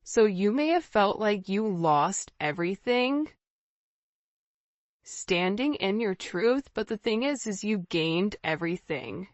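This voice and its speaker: A woman's voice: medium pitch, lively intonation, takes it slowly, no room sound, dull audio. A woman giving an informal tarot reading straight to her viewers, speaking emphatically and conversationally with rhetorical questions. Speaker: tarot reader, channel host.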